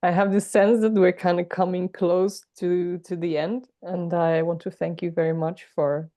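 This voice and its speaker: Warm low-toned woman interviewer, dry sound. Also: little pitch movement, normal speed, no echo. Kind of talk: interview wrap-up.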